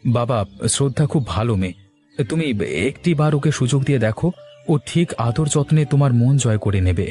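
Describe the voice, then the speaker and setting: An actor playing an earnest, respectful young newlywed son in a cartoon, pleading and hurt. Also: male voice, mid-range voice, animated, moderate pace, warm tone, soft background music, lightly acted. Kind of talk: Bengali cartoon voice acting.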